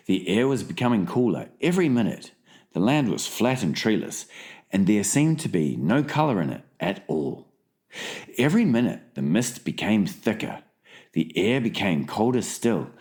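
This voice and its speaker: A man's voice: on the low side, a little mellow. A man narrating a children's storybook in a dramatized audiobook reading style.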